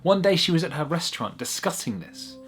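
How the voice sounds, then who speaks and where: A man's voice: a little high, sing-song, fairly quick, faint music behind. A man narrating a whimsical short story in a wry, conversational way with little asides.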